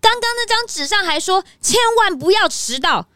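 Young detective's helper, kids' audio story: tiny high voice, animated, eager and impulsive. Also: female voice, normal speed, no room sound, clean studio sound, heavily acted. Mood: puzzled. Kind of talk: children's audio drama acting.

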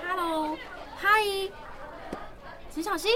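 A woman's voice: extremely high pitch, takes it slowly, crowd noise, noisy background. A woman playing a friendly, hesitant young classmate in a radio drama.

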